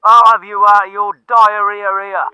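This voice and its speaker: A man's voice: sits high, level tone, moderate pace, bright, forceful tone, smooth, dry recording, pitched above the performer's own voice. A man voicing a foul-mouthed, furious police superior who blusters and bellows down a telephone line in an audiobook. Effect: telephone filter. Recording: thin band-limited telephone sound.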